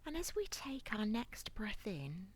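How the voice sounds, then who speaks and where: A woman leading a guided body scan meditation in a soft, near-whispered, breathy voice with a calm, gentle, soothing manner. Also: medium pitch, measured pace. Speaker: guided relaxation instructor.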